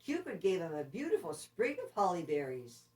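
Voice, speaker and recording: Female storybook reader: lively melodic range, deliberate dramatic phrasing, mid pitch, placed back from the microphone. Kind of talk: expressive children's story narration. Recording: distant microphone.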